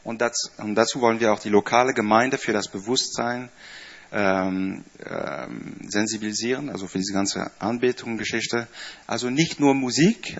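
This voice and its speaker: A man's voice: fairly low pitch, a bit bright. Man, 50s, dutch and french accent, chatty church greeting, lots of fillers, slightly dull recording. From Netherlands. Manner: chatty, hesitant with many fillers, small grammar slips. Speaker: church staff member giving a greeting. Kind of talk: informal speech to a congregation.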